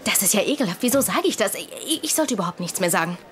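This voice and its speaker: A woman's voice: mid-range voice, lively intonation, rapid-fire, lightly acted. An actress playing a neurotic, self-conscious young woman who rambles nervously in a German-dubbed TV comedy. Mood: nervous, smitten.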